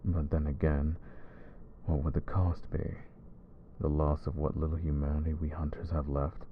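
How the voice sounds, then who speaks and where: A man voicing a brooding male vampire who hunts his own kind, speaking low and measured in an ASMR roleplay. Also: little pitch movement, narrow, tinny audio, slight background noise. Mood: troubled.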